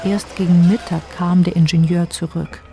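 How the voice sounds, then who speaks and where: A woman's voice: on the low side, level tone, moderate pace, dark tone, slight background noise, natural voice. A woman narrating a literary radio play as a composed storyteller.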